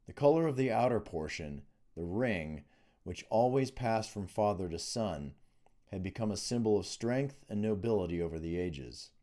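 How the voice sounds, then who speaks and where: A man's voice: fairly low pitch, lively intonation, normal speed, dark tone. A man reading a fantasy novel aloud as scripted audiobook narration, in the role of the author. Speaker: author reading own book.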